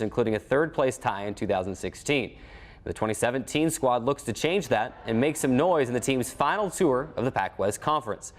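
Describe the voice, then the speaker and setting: A man hosting a sports show, recapping results and interviewing guests in a studio. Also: medium pitch. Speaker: sports show host and play-by-play announcer.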